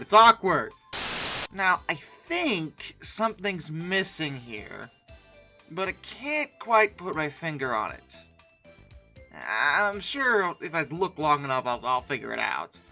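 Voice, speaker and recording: A comedy commentator with a very animated, bright delivery. The host reacts with sarcasm and theatrical exasperation over a soft music bed. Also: medium pitch. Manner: theatrical mock outrage, sarcastic. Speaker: YouTube host reacting to images.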